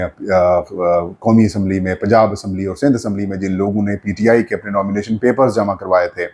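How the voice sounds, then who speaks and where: Low-pitched Pakistani man giving political commentary. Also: darkish tone. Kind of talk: unscripted political news commentary.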